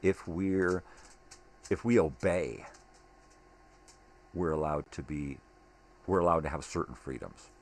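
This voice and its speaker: A man's voice: rumbling low, slow, pauses often. An older man rambling casually in a monologue, blunt, opinionated and given to swearing. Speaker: daily vlog host. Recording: clearly noisy home recording.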